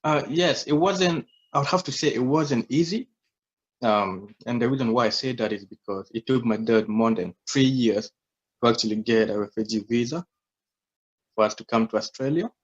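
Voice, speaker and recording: A man's voice: medium pitch, moderate pace, slightly rough, lo-fi sound. A young man from South Sudan in his twenties, a humble and reflective guest telling his story in a casual interview.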